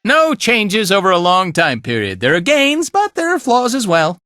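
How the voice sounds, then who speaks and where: A man's voice: high voice, wildly swooping pitch, normal speed, sharp tone, dry recording, clean studio sound, full character voice. A man playing a spirited, laid-back fighter and guide in a video game, with a breezy, colloquial way of talking. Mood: easygoing, instructive. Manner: breezy, colloquial, tagging lines with casual check-ins. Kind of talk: animated video game voice acting.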